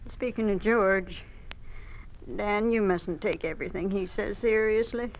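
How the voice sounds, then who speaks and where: Female actor as protective farm wife, mid-pitched, plain-spoken, vintage radio drama with noisy sound. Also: a little slow, muffled recording, natural voice. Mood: anxious, coaxing. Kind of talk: old-time radio drama acting.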